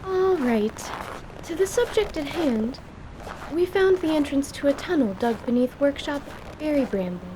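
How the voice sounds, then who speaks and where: A woman's voice: high-pitched, lively intonation, background noise. A woman voicing a polite, earnest female surgeon in radio theatre, speaking formally and carefully. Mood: apologetic, earnest. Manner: formal, measured, careful wording. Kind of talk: radio theatre character acting.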